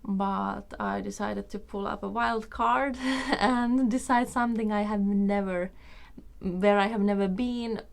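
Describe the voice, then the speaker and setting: Creaky-voiced Finnish woman vlogger. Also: mid-range voice. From Finland. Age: 20s. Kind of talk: casual personal storytelling to camera. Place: small room indoors.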